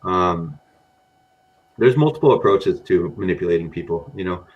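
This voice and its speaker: A very low-voiced man with a loosely mixed American accent talks through ideas in a rambling, casual way, leaning on "you know" and "um". His audio is dull and lo-fi. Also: lively intonation, normal speed. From grew up in Japan among Americans. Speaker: podcast guest, data scientist. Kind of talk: casual podcast interview.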